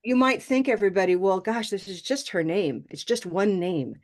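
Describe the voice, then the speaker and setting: A woman's voice: mid-range voice, animated, normal speed, darkish tone. A woman teaching English pronunciation in an online class, encouraging and laughing often.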